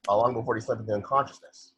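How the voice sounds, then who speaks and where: Weary, sardonic scientist type, played by a man. Very rough low voice, halting and droll, table-read delivery. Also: fairly even intonation, fairly bright tone, no room sound. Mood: probing. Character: wounded, sardonic male intellectual, droll and weary. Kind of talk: amateur screenplay table read.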